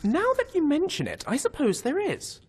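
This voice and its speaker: A man's voice: very high voice, wildly swooping pitch, moderate pace, full character voice. A man voicing an eager, bookish young scholar adventurer in a fantasy game, earnest, articulate and enthusiastic. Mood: earnest, curious. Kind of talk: fantasy game companion dialogue.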